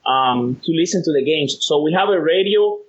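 A man's voice: on the high side, lively intonation, moderate pace, husky, highs cut off. A cheerful man from Cuba with a Cuban accent, a Spanish speaker being interviewed as a podcast guest, laughing easily as he rambles. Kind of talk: casual phone interview.

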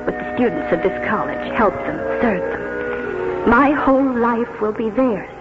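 An actress playing an earnest, idealistic young schoolteacher in 1940s radio drama, with a heartfelt, theatrical delivery. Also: female voice, on the high side, expressive, normal speed, natural voice. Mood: solemn, exalted. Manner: heartfelt, theatrical, hesitant when moved. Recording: dull vintage radio broadcast, loud music.

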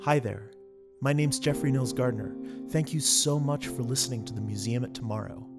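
A man's voice: mid-range voice, normal speed. A man hosting a podcast, reading scripted sponsor messages and announcements. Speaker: podcast creator and host. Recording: dry voice over faint music.

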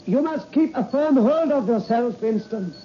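An actor playing an urgent, commanding mission controller in a 1960s radio drama. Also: male voice, very high-pitched, animated, normal speed. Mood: urgent, stern. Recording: muffled old radio recording, faint noise.

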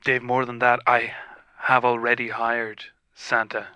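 A man's voice: medium pitch, monotone, measured pace, sharp tone, clear, resonant tone, no echo. A man playing a wronged wife who hired Santa as an investigator, complaining in an improvised comedy call-in. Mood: aggrieved.

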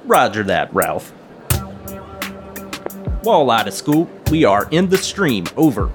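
Folksy, excitable small-town hobbyist guy. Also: mid-range voice, takes it slowly, over music. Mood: upbeat, coaxing. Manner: folksy, chuckling, chatty small-town slang. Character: eager folksy small-town coin and metal-detecting enthusiast man. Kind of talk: audio drama dialogue.

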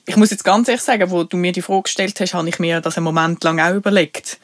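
A woman's voice: lowish voice, fairly even intonation, fast talker, no room sound. Swiss woman with a Basel accent, dry. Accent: Basel Swiss German. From Switzerland. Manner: thoughtful, hesitant, laughs easily. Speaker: podcast co-host. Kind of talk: casual conversation.

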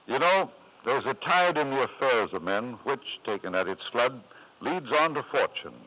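A man's voice: mid-range voice, animated, normal speed, slight background noise, close to an everyday voice. An actor playing an ambitious, scheming father in 1950s radio drama, persuasive and theatrical, on an old broadcast recording. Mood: sly, insinuating. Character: ambitious, scheming father, smooth-talking and desperately gambling. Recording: dull lo-fi vintage radio broadcast.

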